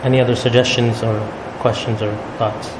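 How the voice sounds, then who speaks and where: A man leading a religious study circle, explaining calmly and often checking in with "right?". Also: mid-range voice, a little fast. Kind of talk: religious talk to a group. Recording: echoey hall with noise.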